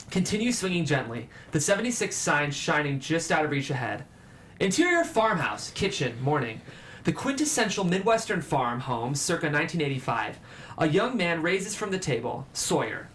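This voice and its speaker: A man with a fairly high voice hosts and narrates a screenplay reading. There is light room noise behind him as he presents the script. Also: a bit bright. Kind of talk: show presenting and scripted stage-direction narration.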